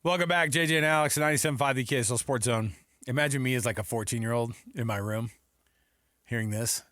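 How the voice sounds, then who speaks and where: A man's voice: medium pitch, fast talker, no room sound, studio quality, faint music behind. A middle-aged man hosting sports radio, chatting casually and telling stories in a jokey way. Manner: jokey, animated storytelling. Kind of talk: casual chat. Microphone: close studio microphone.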